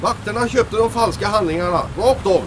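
An actor playing a flustered, slow-witted seaman husband in a comedic audio drama, excitable and stammering. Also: sits high, noisy background, natural voice. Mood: chatty.

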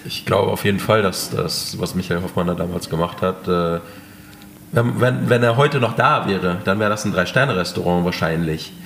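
A man who is a chef, answering interview questions in a relaxed, hesitant way with many fillers. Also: low voice, normal speed, creaky, slight background noise. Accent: German. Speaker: chef, restaurant owner. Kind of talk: casual interview talk.

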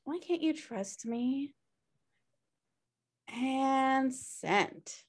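A woman's voice: high-pitched, lively intonation, very slow, exaggerated voice. A woman playing a sardonic, pushy slacker sister in her thirties, dry and teasing, at a comedy table read on a video call. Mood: breezy, pushy. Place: home room on a video call.

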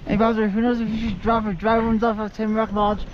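A man's voice: high voice, flat delivery, quick pace. A man chatting casually and rambling a little, repeating himself, while riding a ski chairlift outdoors in the snow. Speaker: vlogger filming a chairlift ride. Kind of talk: casual chat. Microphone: camera on a pole. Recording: wind-buffeted dull camera audio.

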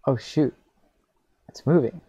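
A man reading aloud casually as a reactor, with spontaneous, expressive exclamations between the lines. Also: mid-range voice, takes it slowly, husky, no room sound.

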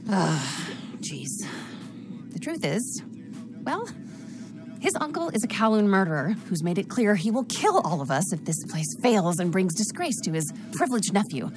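An actress playing a brisk, worldly casino general manager in a video game, businesslike and clipped with a wry edge. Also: female voice, mid-range voice, big pitch swings, natural voice. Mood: tense, grim. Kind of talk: video game cutscene acting. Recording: music bed behind the voice.

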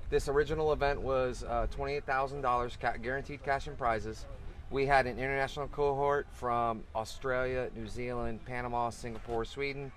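A man's voice: medium pitch, steady tone, moderate pace. A middle-aged man organizing a fishing tournament, talking enthusiastically in a rambling way with frequent restarts, recorded outdoors on a beach. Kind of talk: casual interview talk. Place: outdoors on a beach, under a cart canopy.

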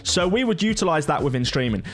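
A man's voice: a little high, big pitch swings, fast, fairly bright tone, soft background music. A middle-aged man talking informally through a casual tutorial, in the manner of a gaming content creator. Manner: chatty, informal.

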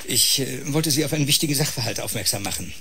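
A man's voice: medium pitch, lively intonation, fast talker, vocal fry, bright, forceful tone, slight background noise. An actor playing a mocking, needling male clinic colleague in a science-fiction radio drama, sarcastic and goading.

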